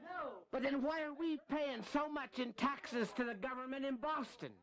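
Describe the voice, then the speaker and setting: An actor playing a fiery rebel leader in a historical audio drama, rallying poor farmers with rousing rhetorical questions. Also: male voice, extremely high pitch, steady tone, normal speed. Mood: defiant, rousing. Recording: loud crowd around the voice.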